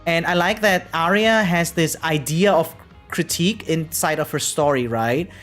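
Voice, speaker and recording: Streamer, 30s: mid-range voice, loose chatty live commentary that rambles, soft music underneath. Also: medium pitch, moderate pace. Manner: rambling, keeps adding "right?". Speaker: variety game streamer. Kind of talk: casual live reaction commentary. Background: faint music.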